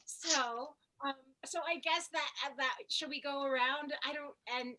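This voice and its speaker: A woman's voice: high-pitched, fairly even intonation, unhurried, sharp tone. A woman hosting a group video call, chatting casually and informally. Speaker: host. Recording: noisy video call audio with clicks.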